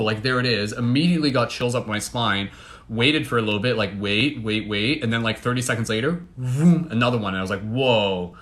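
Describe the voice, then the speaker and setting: A young man with a Canadian accent, chatting casually and excitedly to livestream viewers, full of fillers. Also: medium pitch. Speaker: livestream host.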